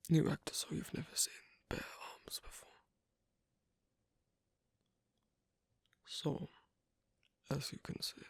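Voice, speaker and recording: A performer voicing an asocial werewolf in an ASMR roleplay, hesitant and gently instructive, in a whispery, breathy, creaky voice. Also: mid-range voice, no room sound, full character voice. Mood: shy, gentle. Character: asocial werewolf on a first date, patiently teaching sewing.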